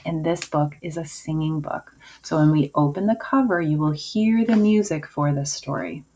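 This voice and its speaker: A woman teacher giving a video lesson to young children in simple, deliberate phrases. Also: low-pitched, warm tone. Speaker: early-years school teacher. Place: indoors at a table, homemade video. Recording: dull lo-fi sound, clear noise.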